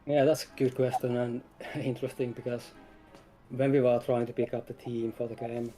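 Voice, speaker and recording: A man's voice: medium pitch, flat delivery, brisk, mellow, faint music behind, poor audio. A man speaking casually and a little hesitantly as a game developer guest in an interview. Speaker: game developer, lead designer, stream guest.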